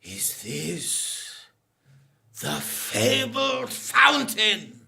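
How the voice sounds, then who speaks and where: Melodramatic anguished explorer, stage comedy. Slow, bright, declamatory delivery with stammers. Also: female voice, medium pitch, huge pitch swings, gravelly, full character voice. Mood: awed, hesitant. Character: Strideforth, anguished melodramatic explorer hungry for immortality. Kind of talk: live comic stage radio drama.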